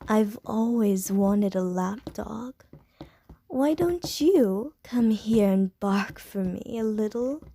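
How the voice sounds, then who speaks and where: A woman voicing a flirtatious, predatory female vampire in an ASMR roleplay, speaking softly and teasingly and slipping into whispers. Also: medium pitch, lively intonation, a little hiss or hum. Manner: slow, soft, teasing, drops into whispers.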